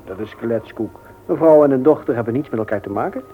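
An actor playing a courteous, gently flirtatious single father in a radio play, polite, bantering and slightly hesitant. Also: medium pitch, lively intonation, fast, natural voice. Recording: dull old radio recording with noise.

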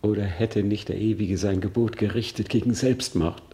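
An actor playing a grieving, bitter young prince in a classical radio drama, with deliberate declamation that moves between hushed and forceful. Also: male voice, on the low side, steady tone, close to an everyday voice. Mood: anguished, disgusted. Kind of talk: classical German stage-verse radio drama acting.

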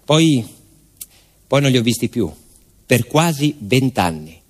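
Theatrical male narrator, deliberate and emphatic. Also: mid-range voice, very animated. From Salento, southern Italy. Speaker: stage narrator and actor.

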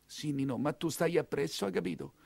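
A man's voice: fairly high pitch, brisk, gravelly, a little mellow, slightly rough, no echo, studio quality, heavily acted. An actor voicing an anxious, nagging Roman mother who frets over and warns her son in a stage monologue. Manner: nagging, admonishing. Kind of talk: theatrical monologue character.

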